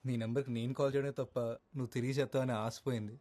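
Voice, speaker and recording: An actor playing a kind, earnest young auto driver, eager and teasing on the phone. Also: male voice, medium pitch, flat delivery, very fast, mellow, close to an everyday voice. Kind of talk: film dialogue. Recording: film soundtrack with music behind.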